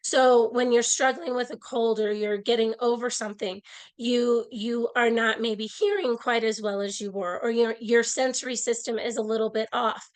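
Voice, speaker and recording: Woman, slightly hoarse, fairly high voice, earnest online presenter, earbud mic. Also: fairly even intonation, moderate pace. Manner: earnest, explanatory, with frequent restarts. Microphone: earbud mic on a video call.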